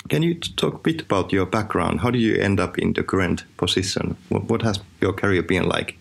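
Low gravelly host. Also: male voice, fairly quick. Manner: hesitant, stumbling over words. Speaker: interview host. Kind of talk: interview questions.

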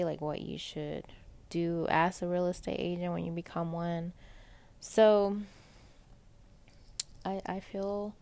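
A young woman talks in a soft, pleasant voice, rambling casually through her week with plenty of fillers. Her tone sits low and carries a gravelly fry. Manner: chatty, rambling, many fillers. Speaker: podcast host. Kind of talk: casual personal monologue.

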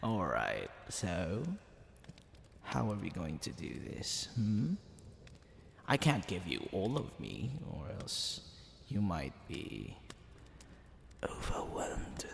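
Seductive demon king, performed by a man, gravelly, wildly swooping delivery, theatrical drawl, heavy reverb. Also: low voice, huge pitch swings, takes it slowly, pauses often, heavily acted. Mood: smug, seductive. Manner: drawling, theatrical, with whispered asides. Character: teasing, seductive, smug male demon king. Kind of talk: ASMR roleplay. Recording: long reverb, faint background noise.

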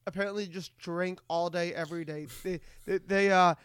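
A man's voice: high voice, steady tone, normal speed, fairly bright tone, smooth, no echo. A man hosting a casual, comedic podcast chat, stammering and repeating his phrases as he goes. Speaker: podcast host.

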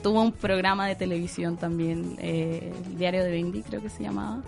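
A woman's voice: on the low side, steady tone, moderate pace, vocal fry, darkish tone. Woman podcast co-host, loose rambling storyteller, faint music behind. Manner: rambling, many fillers, laughs often. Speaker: co-host presenting the segment. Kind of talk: casual podcast chat.

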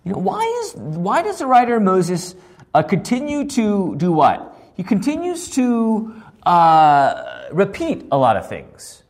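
A man with a hoarse voice teaching a Bible passage, asking questions and answering them himself. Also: high voice, wildly swooping pitch, takes it slowly. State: losing his voice, hoarse. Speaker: pastor teaching a Bible study.

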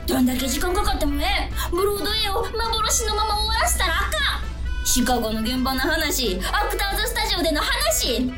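A very high, piercing voice over clear background music: a fiery female taxi driver in a comic radio drama. She speaks broad Kyoto dialect, emphatic and scolding, with a big-hearted determination. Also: very animated, fairly quick. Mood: rousing resolve.

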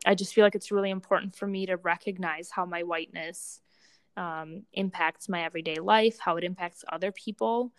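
A young woman with a slightly low, clean and smooth voice talks casually on a podcast. She reflects as she goes, hesitating and searching for words. The sound is close and dry. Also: steady tone, a little fast, dry recording. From Romania. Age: young adult. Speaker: podcast co-host, doctoral student in psychology.

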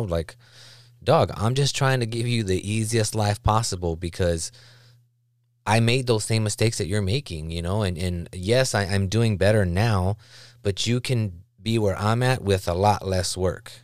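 A podcast host with a low male voice talks earnestly and casually, dropping "man" between his thoughts. The sound is close and dry, with no room around it. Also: no echo. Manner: earnest, keeps saying "man".

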